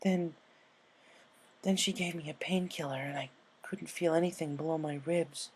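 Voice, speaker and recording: A man voicing a traumatized young female pegasus pony in a fan story, halting, tearful and broken. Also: a little high, steady tone, slightly rough, voice pushed up. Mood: shaken, haunted. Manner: halting, sobbing, stammering delivery.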